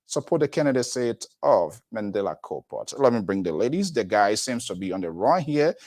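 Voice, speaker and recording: A man's voice: on the low side, fairly quick. A man hosting a live panel talk show, rambling with frequent restarts. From Liberia. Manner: rambling, animated delivery with restarts.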